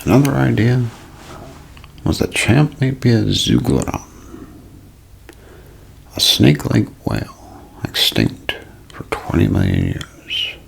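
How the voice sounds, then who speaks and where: A man giving a scripted, dramatic ASMR mystery narration in a gravelly, husky voice that often sinks toward a whisper, with deliberate storyteller phrasing. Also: medium pitch, huge pitch swings, low-quality recording.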